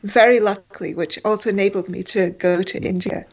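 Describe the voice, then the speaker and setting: A woman's voice: mid-range voice, fairly even intonation, fairly quick, lo-fi sound. A woman in her forties, a guest in an interview, speaking with many fillers and restarts. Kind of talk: conversational interview.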